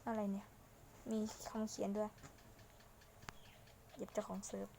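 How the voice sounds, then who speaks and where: A woman's voice: medium pitch, level tone, normal speed, mellow. A woman hosting a gaming video, giving informal gameplay commentary and thinking aloud as she goes. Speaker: gaming channel host. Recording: clearly noisy home recording.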